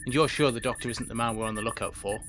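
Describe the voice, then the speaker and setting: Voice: mid-range voice, steady tone, racing, fairly bright tone, a little hiss or hum, close to an everyday voice. An actor playing a stern, suspicious space station principal and former security chief in an audio drama, speaking in a clipped, formal, authoritative way. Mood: guarded, businesslike. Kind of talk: science fiction audio drama acting.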